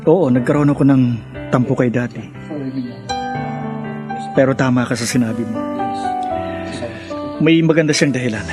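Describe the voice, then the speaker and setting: An actor playing a kind, open-minded older brother in a radio drama, reasoning and reassuring. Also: male voice, mid-range voice, moderate pace, pauses often, natural voice. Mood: warm, accepting. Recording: music behind the voice.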